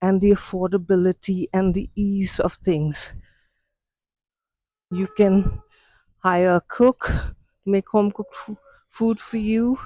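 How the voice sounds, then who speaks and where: A woman's voice: mid-range voice, warm tone, no room sound. A woman with an Indian English accent, talking to the camera in a walk-and-talk vlog as she walks along outdoor city streets. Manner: measured, unhurried explaining. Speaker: vlogger and coach.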